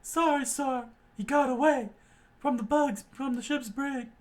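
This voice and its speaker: Brief: terrified junior sailor, squeaky and breathless, a male reader's put-on voice. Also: unhurried. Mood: panicked. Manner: shrill, breathless, frantic reporting. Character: panicked young male navy sailor. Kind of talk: fan reading of a comic, doing voices.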